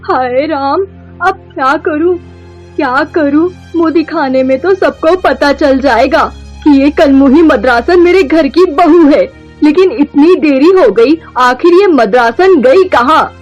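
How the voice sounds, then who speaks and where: Woman acting scornful high-voiced mother-in-law. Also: unhurried, darkish tone, dull audio, soft background music. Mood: anxious, bitter. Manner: taunting, theatrical scolding. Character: scornful, short-tempered middle-aged mother-in-law. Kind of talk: melodramatic Hindi moral-story cartoon acting.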